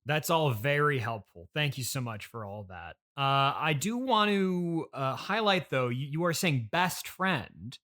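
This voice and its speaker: Hesitant male podcast host, swooping pitch. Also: animated, a little slow, few pauses, sharp tone. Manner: hesitant, polite, mildly bemused. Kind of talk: casual comedy interview.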